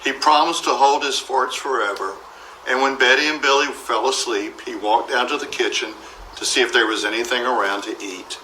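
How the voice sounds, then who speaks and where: A man narrates a children's storybook with a bright, forward voice. He reads expressively and acts out the dialogue. The room adds a clear echo, and there is faint noise behind him. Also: medium pitch, normal speed, far from the mic. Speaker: storybook reader.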